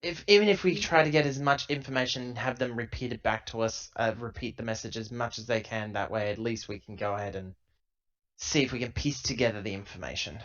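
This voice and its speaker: A man playing a tabletop roleplaying game, improvising casually and thinking out loud in a rambling way, on a lo-fi laptop recording. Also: mid-range voice, a little fast.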